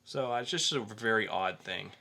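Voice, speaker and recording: A man's voice: on the low side, moderate pace, pushed and bright. A man co-hosting a podcast, chatting casually about pop-culture news and freely offering opinions. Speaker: podcast co-host.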